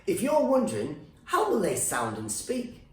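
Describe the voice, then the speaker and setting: A man's voice: sits high, huge pitch swings, measured pace, a little mellow, reverberant. A male stand-up comedian, born in Iran with Farsi as his first language, delivering a sarcastic rant that keeps slipping into silly put-on voices.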